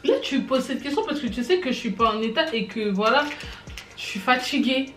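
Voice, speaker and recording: A young woman, a native Lingala speaker, chatting casually with her husband on a couples vlog, reserved and soft-spoken. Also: fairly high pitch, brisk, reverberant, faint music behind. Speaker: vlogger. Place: living room with fireplace screen.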